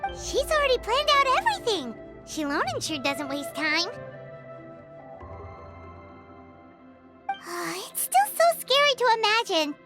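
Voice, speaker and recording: Tiny female fairy sidekick, squeaky, very high-pitched, animated, excitable, childlike, video game acting. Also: moderate pace, over loud music, heavily acted. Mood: worried, curious. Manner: exclamatory, speaks of herself in third person.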